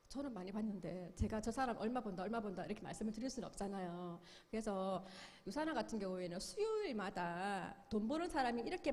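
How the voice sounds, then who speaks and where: Woman, middle-aged, seminar speaker, animated delivery, long hall reverb. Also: mid-range voice. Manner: upbeat, explains with questions and acted-out quotes. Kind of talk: sales seminar lecture.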